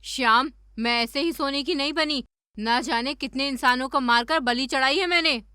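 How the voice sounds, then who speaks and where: A sly magical golden lizard from a cartoon, played by a woman, with a high and piercing bright voice. She taunts and boasts theatrically, with menace under the words. The sound is close and dry. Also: moderate pace, dry recording. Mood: boastful, indignant. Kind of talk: Hindi cartoon story dubbing.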